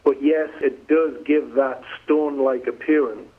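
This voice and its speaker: Middle-aged English man, business guest on a phone interview, husky voice, tinny phone line, stumbles and repeats words. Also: medium pitch, steady tone, a little slow. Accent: northeast English, softened by years in the US. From northeast England. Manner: conversational, repeats words when starting sentences. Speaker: flooring company president, guest.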